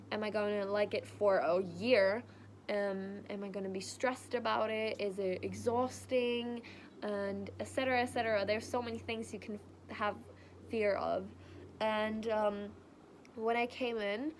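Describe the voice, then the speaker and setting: A young woman from Germany with a German accent, chatting casually in a vlog and rambling with lots of fillers. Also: mid-range voice. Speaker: vlogger. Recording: faint background noise.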